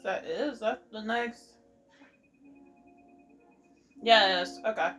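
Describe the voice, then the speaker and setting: A woman's voice: medium pitch, drawn-out words, fairly bright tone. A woman hosting a let's play, giving casual commentary on a video game. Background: music.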